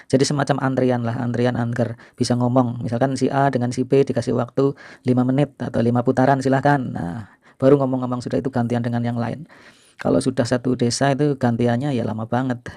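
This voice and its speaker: Middle-aged man, flat creaky mellow voice. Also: mid-range voice, a little fast, dry recording, studio quality. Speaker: video host and storyteller. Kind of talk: informal reminiscing narration to viewers.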